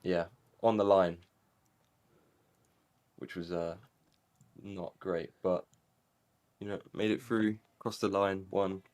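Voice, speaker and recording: A man's voice: low-pitched, takes it slowly, pauses often. A young man from Angola, interviewed after winning a sim race, talking casually with lots of fillers. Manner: relaxed, rambling with fillers. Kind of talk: casual post-race interview over voice chat.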